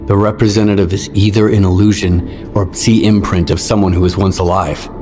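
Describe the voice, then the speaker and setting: A man playing a grave, brooding character in a video game, speaking in a solemn, measured way. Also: sits low, little pitch movement, music in the background, natural voice. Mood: grim, reflective. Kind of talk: dramatic video game acting.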